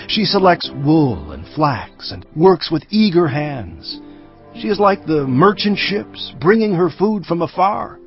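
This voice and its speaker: A man playing an earnest royal sage, reciting a parent's counsel from scripture in a deliberate, declamatory way. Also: fairly high pitch. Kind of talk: dramatised scripture reading. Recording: dull lo-fi audio with background music.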